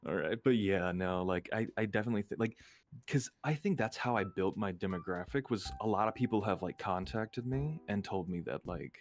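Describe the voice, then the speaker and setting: A man's voice: lowish voice, brisk, soft background music. A man chatting casually as a guest on a stream, easygoing and a little hesitant in how he talks. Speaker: stream guest, ASMR creator and artist.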